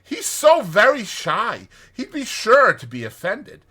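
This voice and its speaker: A man's voice: sits high, huge pitch swings, takes it slowly, pushed and bright, husky, voice pushed up, exaggerated voice. A man voicing a hearty, evasive Water Rat from a storybook, speaking in an emphatic, insistent way. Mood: alarmed.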